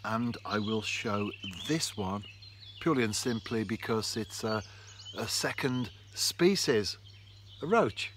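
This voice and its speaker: A man, talking to camera in a relaxed, reflective way while fishing on a canal bank. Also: fairly low pitch, takes it slowly. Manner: chatty, reflective, speaks straight to camera. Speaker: fishing vlogger. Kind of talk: relaxed outdoor vlog narration. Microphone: camera close in front. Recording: faint outdoor noise. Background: birds and countryside ambience.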